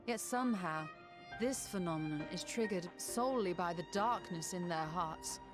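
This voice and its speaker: An actress playing a thoughtful, solemn sage in a fantasy game, with formal, reflective delivery. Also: female voice, lowish voice, normal speed, over music, close to an everyday voice. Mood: solemn, pondering.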